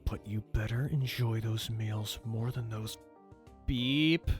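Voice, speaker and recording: A man's voice: fairly low pitch, slow pace, soft background music. A man voicing an arrogant, demanding male chef while reading game dialogue aloud. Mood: condescending.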